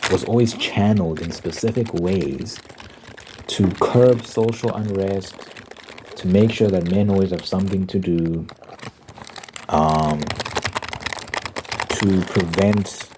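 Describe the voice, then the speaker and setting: A man hosting an ASMR ramble, giving opinions and reading aloud in deliberate phrases with pauses. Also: low-pitched, noisy background. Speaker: host of an ASMR rambling channel.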